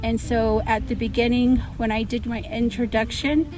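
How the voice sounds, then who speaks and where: A woman with a fairly high voice speaks casually, as a teacher answering interview questions. She explains her points through examples. Music plays clearly behind her. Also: level tone, normal speed, rough recording.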